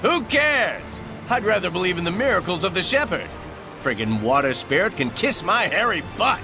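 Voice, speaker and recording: A man's voice: sits high, big pitch swings, normal speed, music in the background. A man voicing a brash, loyal, crude soldier in a video game, boastful and irreverent. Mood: defiant, fervent. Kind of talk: English game voice acting.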